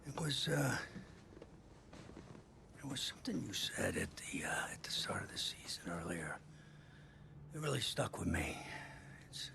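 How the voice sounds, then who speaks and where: Gravelly, halting football coach. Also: male voice, lowish voice, big pitch swings, in a whisper, exaggerated voice. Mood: halting, solemn. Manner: halting, deliberate, plain-spoken. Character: earnest, firm middle-aged male college football coach. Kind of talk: naturalistic video game drama acting.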